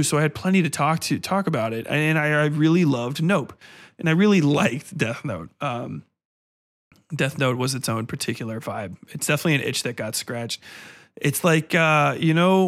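A man's voice: mid-range voice, fairly bright tone. A man ranting casually as a podcast host, blunt, profane and exasperated, rambling through his opinions in slangy speech.

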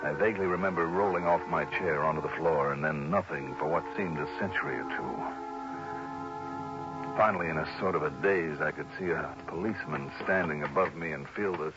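An American actor playing an earnest, groggy private investigator in a 1960s radio drama, thinking aloud with some hesitation. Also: male voice, very deep voice, a little fast, over loud music. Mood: dazed narration. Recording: hissy, dull old radio recording.

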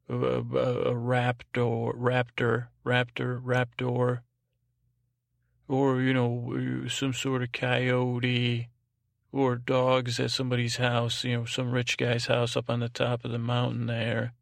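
A man hosting a sleep podcast with a meandering, halting bedtime ramble in a lulling, soothing tone, often repeating himself and trailing off. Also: medium pitch, no room sound, clean studio sound. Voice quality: creaky, gravelly. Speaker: podcast host. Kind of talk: rambling improvised bedtime monologue. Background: music.

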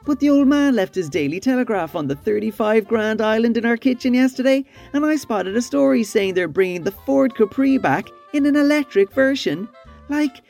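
A woman voices a pretentious young snob in a comedy sketch. The voice is fairly high and mellow, drawling to sound cool over faint music. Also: gravelly. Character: posh, clueless young Cork snob playing hip. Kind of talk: scripted comedy monologue.

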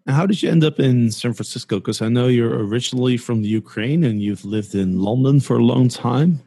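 A man hosting a casual interview podcast, who works through figures out loud and restarts his phrases. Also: mid-range voice, a little fast, slightly rough. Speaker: podcast host.

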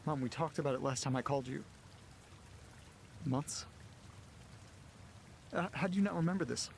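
An actor playing an anxious, distressed young man on a phone call to his mother in an audio drama, halting and pleading as he talks. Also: on the high side, gravelly, slight background noise. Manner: hesitant, halting, faltering, pleading.

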